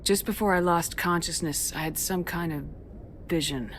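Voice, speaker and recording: An actress playing a resolute, composed military commander in a video game, with controlled, direct delivery. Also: female voice, fairly low pitch, normal speed, slight background noise, lightly acted. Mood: troubled. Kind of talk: dramatic video game dialogue.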